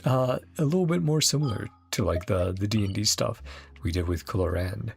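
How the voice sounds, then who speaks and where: Man, game streamer, rambling casual chat, expressive pitch, some vocal fry, background music. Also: normal speed. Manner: rambling, chatty. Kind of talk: casual reaction stream commentary.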